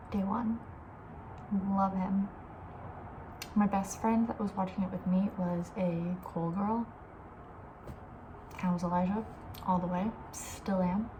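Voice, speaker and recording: A young woman with a soft, breathy voice, often near a whisper, chatting casually and with playful opinions in an ASMR style. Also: medium pitch, background noise. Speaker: ASMR video creator.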